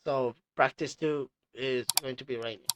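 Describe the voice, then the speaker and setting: A man who is a non-native English speaker hosting casual commentary, explaining things in a halting, repetitive way. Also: medium pitch, steady tone, muffled recording, very noisy. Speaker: host reviewing team radio clips.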